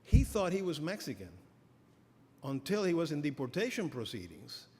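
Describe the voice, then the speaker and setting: A middle-aged man giving an academic lecture as a professor, halting with many fillers and restarts. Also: fairly high pitch, sing-song, dark tone. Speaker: professor giving a keynote address. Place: lecture hall. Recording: reverberant hall, faint ambience.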